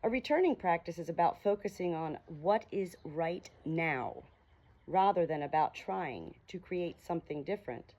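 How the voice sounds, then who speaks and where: A woman reading aloud informally with casual asides, speaking in an unhurried way outdoors on a small farm. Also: on the low side, animated, dark tone, lo-fi sound. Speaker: audiobook reader and small hobby farm keeper. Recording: noisy outdoor ambience with farm animals. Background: farm animal sounds, ambient noise.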